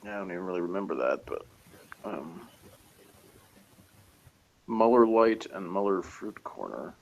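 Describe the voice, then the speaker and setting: Man, lowish creaky voice, hesitant and dryly sardonic in casual review chat, faint noise behind. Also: sing-song, measured pace, muffled recording. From outside Britain. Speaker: co-reviewer of a TV series.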